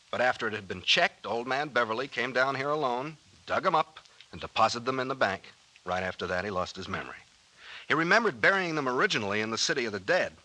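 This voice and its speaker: Casting: genial American captain-detective, bright forceful voice, lively swings, confident explainer, vintage radio mystery. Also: male voice, medium pitch, exaggerated voice, low-quality recording. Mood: assured, animated. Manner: explanatory, chuckling, self-assured. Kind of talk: old-time radio drama acting.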